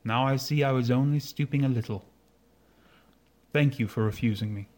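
An actor playing a proud, earnest gentleman suitor in a radio drama, speaking in a formal, measured manner. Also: mid-range voice, natural voice. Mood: wounded pride.

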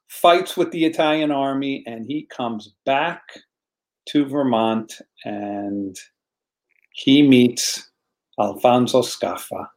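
A man's voice: medium pitch, a little mellow, poor audio. An American man, a guest in a casual online chat, telling a story earnestly and repeating words as he searches for them. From United States.

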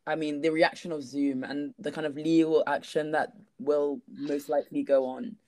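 A man with a slightly hoarse, worn voice, talking casually as a guest with many fillers and little laughs. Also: fairly high pitch, level tone, normal speed, darkish tone, no echo. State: losing his voice. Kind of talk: casual discussion.

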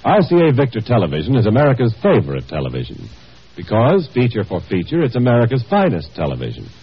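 A male radio announcer delivers a scripted 1950s commercial with lively, swinging intonation. His voice has a husky grain and sits at a middle pitch. The sound is the muffled, narrow tone of an old broadcast. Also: fast.

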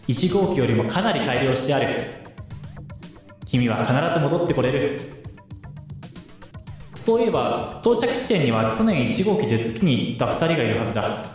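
A calm, resolute space officer, acted by a man in a sci-fi radio drama, gives formal briefings with lively intonation. The voice echoes strongly, with faint music behind it. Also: medium pitch, natural voice. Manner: measured, formal, reassuring briefing. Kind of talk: Japanese radio drama acting.

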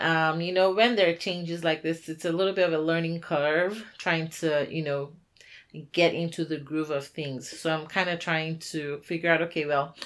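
A woman's voice: sits low, a bit bright. A woman speaking as a podcast host in a casual, chatty, conversational way.

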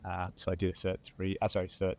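A man from Tasmania with an Australian accent and a low voice talks in an interview. He is reflective and modest and uses plenty of fillers, with faint noise behind him. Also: completely flat delivery, quick pace, creaky, a little mellow. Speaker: guest, veteran.

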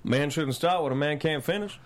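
Man acting a measured, folksy Southern drifter. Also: a little high, lightly acted. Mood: wry, measured. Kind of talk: screenplay table read.

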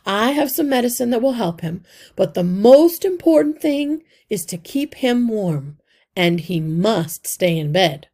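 A woman's voice: medium pitch, sing-song, measured pace, dark tone. A woman voicing a very old, wise male mouse healer with a kindly, authoritative manner for a children's storybook. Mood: firm, instructive. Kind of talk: children's storybook read-aloud.

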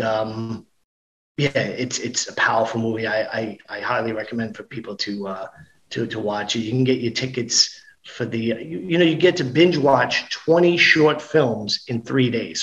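A man's voice: medium pitch, moderate pace, highs cut off. Upbeat, chatty middle-aged man. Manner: upbeat, chatty, with frequent restarts. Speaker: guest actor and comedian. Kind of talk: casual podcast chat.

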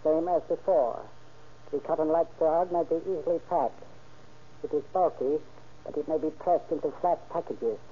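A stern, methodical smuggling boss in a vintage radio crime drama. His voice sits fairly high, and he speaks formally and deliberately with authority. The sound is thin and muffled, like an old broadcast. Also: husky. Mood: formal, secretive. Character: stern, methodical man instructing a smuggling courier.

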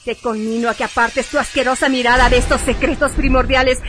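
A woman's voice: sits high, fairly even intonation, a little fast, music in the background, lightly acted. A woman playing a proud, intense noblewoman in a radio drama, with solemn declamatory delivery. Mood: commanding, hostile. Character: proud, intense noblewoman of ancient pure bloodline.

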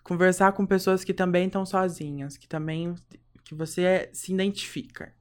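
Young woman, caipira accent, lower voice, blunt and emphatic, casual co-host. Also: steady tone, normal speed, smooth. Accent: Brazilian caipira (São Paulo countryside). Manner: blunt, emphatic, repeats words for stress. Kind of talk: casual chat.

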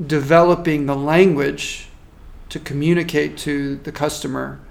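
Man, consultant, thoughtful interview answer, measured pace of ideas, gravelly tone. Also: on the high side, fairly even intonation. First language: not French. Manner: measured, thoughtful, frequent restarts. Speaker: marketing consultant.

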